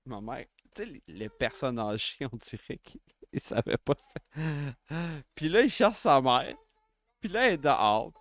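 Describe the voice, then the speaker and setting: A man with a Quebec French accent hosting a casual livestream from home, excitable and quick to exclaim and joke. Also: mid-range voice, dry recording. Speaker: livestream host.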